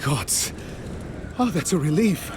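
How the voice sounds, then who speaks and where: High-voiced, weary wounded soldier. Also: male voice, sing-song, slow, noisy background. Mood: strained, weary. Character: wounded male soldier scout, weary but dutiful. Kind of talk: video game dialogue.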